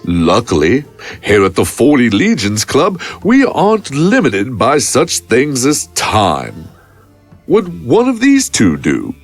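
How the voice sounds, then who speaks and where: A man playing a mock-polite, sinister infernal waiter in a horror audio drama, husky and savouring each phrase. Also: mid-range voice, huge pitch swings, slow, soft background music, full character voice. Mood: sly taunting. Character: smugly courteous infernal waiter, mocking and sinister.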